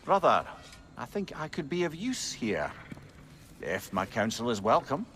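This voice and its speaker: Witty polite advisor character in a game, very expressive, bit of noise behind. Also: male voice, mid-range voice, a little slow. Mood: courteous. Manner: genteel, droll, articulate. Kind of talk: video game character acting.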